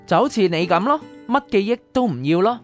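A woman's voice: mid-range voice, lively intonation, fairly quick, soft background music, lightly acted. A woman playing a composed, enigmatic keeper of memories in the afterlife, in a radio drama. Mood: composed, explaining. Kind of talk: Cantonese radio drama acting.

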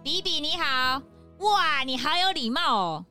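A woman's voice: sits high, expressive, sharp tone, faint music behind, exaggerated voice. A woman playing a perky, gushing detective's assistant in a children's mystery audio drama. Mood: admiring. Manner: exclamatory, drawn-out sentence endings.

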